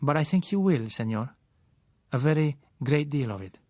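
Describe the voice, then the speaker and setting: A man voicing a suave, sly businessman in an audiobook, polite and measured, with soft hesitant pauses. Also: medium pitch, lively intonation, dry recording, clean studio sound, lightly acted. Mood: smooth, knowing. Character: suave, sly South American businessman, polite and gently insistent. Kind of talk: audiobook character voice.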